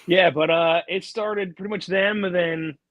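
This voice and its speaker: A man's voice: high-pitched, fairly even intonation, a little slow, a bit bright, dull audio, a little hiss or hum. A young man chatting casually as a musician guest in an interview, informal and easygoing. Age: young adult. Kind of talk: casual chat.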